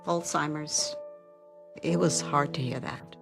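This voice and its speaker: A mature woman gives a scripted ad testimonial in a deep voice. Music plays clearly behind her. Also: fairly even intonation, takes it slowly. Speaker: mother in a public service ad.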